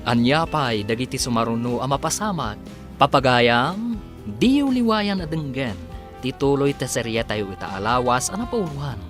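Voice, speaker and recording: A male narrator reading a radio drama's opening credits and recap in a measured announcing manner. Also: mid-range voice. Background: theme music.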